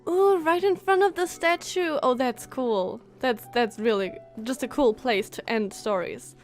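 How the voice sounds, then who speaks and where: A woman's voice: sits high, unhurried. A young woman streamer giving casual, gushing live commentary on a video game. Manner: excitable, gushing, giggly. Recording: game music behind the voice.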